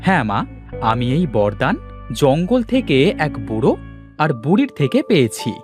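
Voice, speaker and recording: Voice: medium pitch, big pitch swings, measured pace, darkish tone, faint music behind. A performer voicing a good-hearted, humble village boy in a cartoon, eager and prone to exclaiming. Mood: earnest. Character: good-hearted, humble poor village boy who loves simple food.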